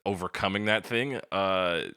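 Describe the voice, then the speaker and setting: A man's voice: sits low, unhurried, bright, forceful tone, smooth, dry recording, studio quality. A man co-hosting a podcast in a casual, slangy, opinionated way, often putting questions to his partner. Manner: conversational, opinionated, probing with questions. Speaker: podcast co-host.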